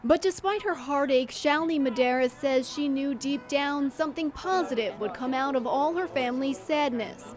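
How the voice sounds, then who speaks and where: A woman's voice: high-pitched, normal speed, hardly any pauses. A woman reporter reading scripted narration for a news feature.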